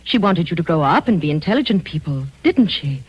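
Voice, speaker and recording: Dull old recording, genteel husky lady. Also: fairly low pitch, sing-song, a little fast, full character voice. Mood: coaxing. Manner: genteel, coaxing, earnest. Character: genteel, soothing, gently probing lady family friend. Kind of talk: old-time radio drama acting. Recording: dull old radio recording, faint music.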